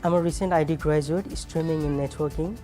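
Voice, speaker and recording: Mellow Nepali-accented young man over music. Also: a little high, steady tone, brisk. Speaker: recent IT graduate. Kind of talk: promotional testimonial. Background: background music.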